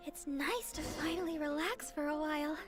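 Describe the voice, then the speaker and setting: Woman doing a super high girly game idol, sweet n humble, kinda apologetic, soft music behind. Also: moderate pace, natural voice. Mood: wistful, sweet. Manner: gentle, apologetic, earnest. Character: sweet, humble young songstress deaconess in a fantasy game. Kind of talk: animated game voice acting.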